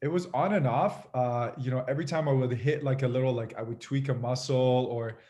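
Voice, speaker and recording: This is a man with a medium-pitched voice, speaking as an interview guest. He tells his own story casually and reflectively. His delivery is halting, full of small restarts and you-knows. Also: steady tone, mellow, a little hiss or hum. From Iran, moved to Canada at eight. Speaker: podcast guest, fitness group leader.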